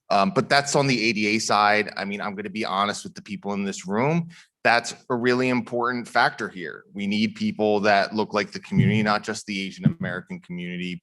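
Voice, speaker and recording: A man from a prosecutor's office answering questions at a community forum in an earnest, measured way, with many fillers and restarts. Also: sits low, a bit bright. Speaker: district attorney's office official.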